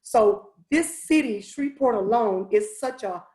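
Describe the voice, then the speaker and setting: A woman in her fifties with a raspy voice, introducing her music master class with enthusiasm in an online presentation. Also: a little high, lively intonation, unhurried, warm tone, roomy sound. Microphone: video call.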